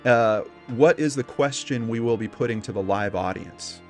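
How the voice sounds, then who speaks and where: A man's voice: medium pitch, moderate pace, faint music behind. A man co-hosting a show in casual chat, with a joking, skeptical manner. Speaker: co-host.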